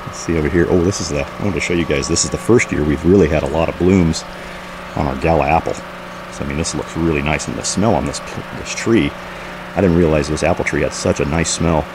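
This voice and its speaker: A man's voice: very deep voice, quick pace. A man giving a casual, unscripted walk-through commentary outdoors, informally pointing things out as a vlog host. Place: outdoors in a backyard garden. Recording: outdoor camera audio with clear background noise.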